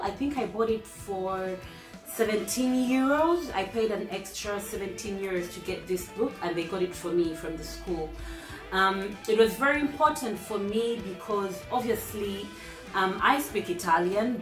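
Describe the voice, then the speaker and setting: A woman who is a native English speaker, hosting her own channel and chatting directly to viewers as she walks through numbered tips. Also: mid-range voice. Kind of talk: casual tips talk to viewers. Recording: roomy echo.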